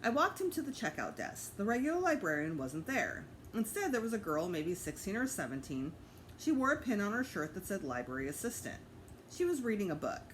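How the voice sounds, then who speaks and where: A woman's voice: mid-range voice, big pitch swings, a little fast, slight background noise, rough recording. A woman narrating a children's book aloud as a teacher reading to students.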